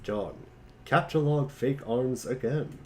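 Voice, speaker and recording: A man of middling pitch reads out short scripted prompts for an amateur dramatic reading. Faint noise sits behind his voice. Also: slow, darkish tone. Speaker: reader of commands.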